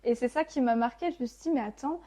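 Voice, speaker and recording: Higher-pitched young Frenchwoman telling her story. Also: quick pace, mellow. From France. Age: 20s. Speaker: podcast guest. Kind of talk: casual personal storytelling.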